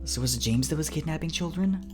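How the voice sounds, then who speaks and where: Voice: medium pitch, expressive, brisk, music in the background, pitched above the performer's own voice, close to an everyday voice. A man voicing an anxious, stubborn animal protagonist in an audio story, speaking casually with tense urgency.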